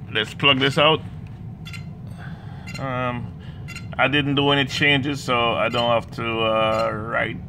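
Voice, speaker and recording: A man with a Jamaican accent, talking through drone setup software in a hesitant way, full of fillers and restarts. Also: mid-range voice, gravelly, background noise. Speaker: hobbyist demonstrating drone software. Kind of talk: casual screen walkthrough. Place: indoors, room with a laptop.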